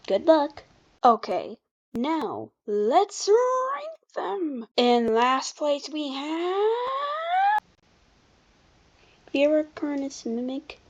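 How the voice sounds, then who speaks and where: A commentator giving casual, unscripted video commentary in an informal, halting way, often backtracking. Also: high voice, muffled recording. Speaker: video creator presenting a ranking.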